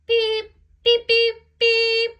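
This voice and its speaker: A woman voicing an eager, impatient young male tank engine in a read-aloud storybook, with high sing-song calls. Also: completely flat delivery, extremely slow, a bit bright, dull audio, full character voice. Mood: alarmed.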